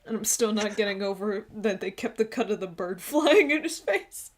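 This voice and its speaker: A woman's voice: mid-range voice, animated, moderate pace. A woman chatting casually as she streams a game, easygoing and giggly.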